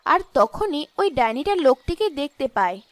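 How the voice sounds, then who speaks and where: A high-pitched woman narrator tells a scripted cartoon tale. Her delivery swings widely in pitch, and the recording is dry and close. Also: sing-song, normal speed, no room sound.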